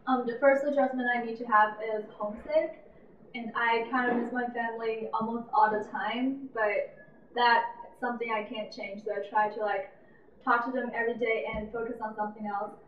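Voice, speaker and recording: A woman gives earnest interview answers in a higher, flat voice. She sounds distant from the mic in an echoey space, and the recording is dull. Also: moderate pace, a little hiss or hum. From China.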